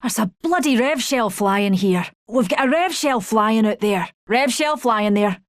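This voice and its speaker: Higher-voiced motherly game fighter. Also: animated, a little slow, no echo. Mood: wary, brisk. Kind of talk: video game callouts.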